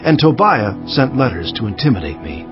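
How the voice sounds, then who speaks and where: A man playing an earnest, resolute ancient governor recounting his memoir in a measured, emphatic dramatized scripture narration. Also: mid-range voice, sing-song, lightly acted. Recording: dull sound with faint music underneath.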